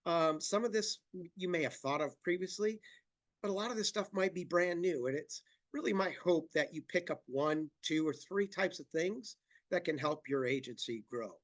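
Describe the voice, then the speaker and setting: A man presenting a marketing webinar while fighting a cold, sounding nasal and talking haltingly with many restarts. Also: on the high side. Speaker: company president giving a presentation.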